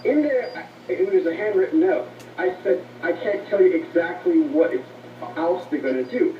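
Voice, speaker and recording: A man answering as an interview guest, earnest and somewhat halting, heard through radio playback. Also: high voice, lively intonation, recorded from a distance, a little hiss or hum. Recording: dull, roomy radio playback from off mic.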